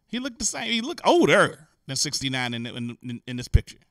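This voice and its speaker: A man hosting a show, giving animated, incredulous, joking and slangy commentary on the news. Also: mid-range voice, a little fast, dry recording, clean studio sound. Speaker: host. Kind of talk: comic commentary on news stories.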